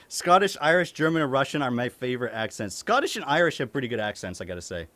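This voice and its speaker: A man's voice: mid-range voice, big pitch swings, brisk, bright, forceful tone, no echo. A young man with a light Maine accent, a streamer casually answering chat questions at home, chuckling often and swearing casually. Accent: light Maine (New England).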